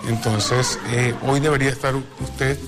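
A Venezuelan man who leads a community association, answering interview questions earnestly and proudly, pausing on fillers. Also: medium pitch, fairly even intonation, loud music behind the voice. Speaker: association leader.

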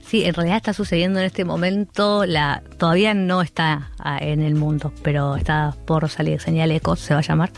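A woman's voice: deep voice, flat delivery, fairly quick, few pauses, soft background music. A woman from Argentina with a Rioplatense accent, a guest who speaks in a casual interview with many fillers and restarts. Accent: Rioplatense Spanish. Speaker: art curator guest.

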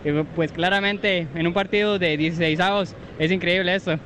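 Bright high-voiced man from Costa Rica. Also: steady tone, moderate pace, a little hiss or hum. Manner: cheerful, laughs between answers. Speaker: football fan. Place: outdoors at a stadium.

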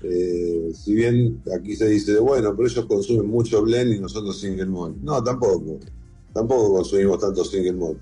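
A man's voice: fairly low pitch, normal speed, warm tone, husky, faint music behind, low-quality recording. A middle-aged man with an Argentine accent, answering conversationally as the guest in an interview. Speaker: interview guest, whisky museum founder and collector.

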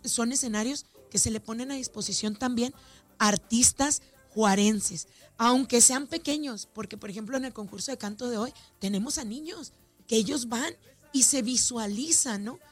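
A woman with a fairly high voice and a northern Mexican accent talks as an organizer in an interview. She is talkative and emphatic, repeating phrases to drive them home, with faint music behind her. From Ciudad Juárez, Mexico. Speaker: festival organizer being interviewed. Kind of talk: conversational radio or media interview.

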